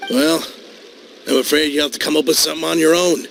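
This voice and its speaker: An actor playing a resigned, apologetic male investor in a video game, with a gravelly voice and weary, dismissive manner. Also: a little high, steady tone, a little fast, fairly bright tone, slight background noise. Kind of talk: video game character acting.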